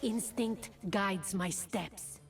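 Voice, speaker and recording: A woman's voice: medium pitch, extremely slow. A woman voicing a proud, protective shapeshifting huntress in a video game, with solemn, terse delivery. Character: proud, protective wild huntress who shifts into cougar. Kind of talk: video game character voice lines. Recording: distant game audio under music.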